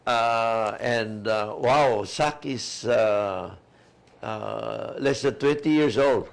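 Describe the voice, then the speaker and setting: A man co-hosting a talk show, his delivery unhurried and hesitant. He has a low, gravelly voice and stops often to fill gaps with a drawn-out "uh.". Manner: slow, halting, many filler uhs. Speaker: co-host, attorney.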